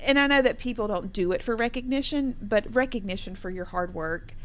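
A woman's voice: medium pitch, animated, fairly quick. A woman hosting a conversational interview with award winners, speaking in an encouraging, affirming way. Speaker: interview host.

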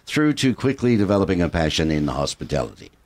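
A man's voice: deep voice, very animated, fast, darkish tone. An Australian man hosting a talk show interview, joking and teasing his guest. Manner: jokey, playful, teasing. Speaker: host.

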